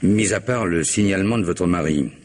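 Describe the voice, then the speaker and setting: An actor playing a stern, probing police commissioner, questioning in a formal, measured way in an old radio drama. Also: male voice, low voice. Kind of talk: 1960s French radio drama acting.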